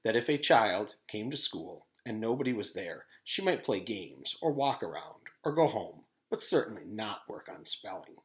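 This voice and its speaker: A man's voice: fairly low pitch, sing-song, normal speed, hardly any pauses. A man voicing a stern, suspicious mystery man, questioning coldly and formally in a children's storybook. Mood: skeptical. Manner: cold, formal, skeptical questioning. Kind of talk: children's storybook read-aloud.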